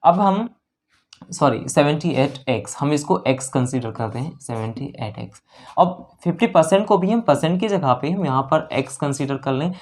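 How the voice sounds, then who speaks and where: A man's voice: on the high side, animated, normal speed, darkish tone. A man with an Indian accent teaching a lesson, explaining worked problems step by step, often repeating key phrases and checking in with learners. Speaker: maths teacher for exam preparation.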